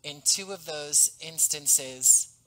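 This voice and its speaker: A man in his thirties speaks over a headset on a video call from home, telling stories with eager enthusiasm. His voice is bright and forward. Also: fairly high pitch, takes it slowly, low-quality recording. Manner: animated, enthusiastic storytelling. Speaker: podcast guest, audio drama creator. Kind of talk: casual interview. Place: home room, indoors.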